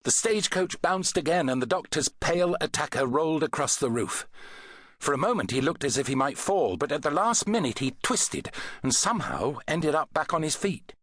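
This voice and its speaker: A man reading an adventure novel as an audiobook narrator, in an expressive, dramatic storytelling manner. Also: mid-range voice, dry recording, clean studio sound.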